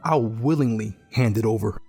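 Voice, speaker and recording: A man's voice: lowish voice, moderate pace, mellow, husky. A man playing a grieving, bitter teenage survivor in a post-apocalyptic audio drama, earnest and brooding. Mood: despairing, brooding. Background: music.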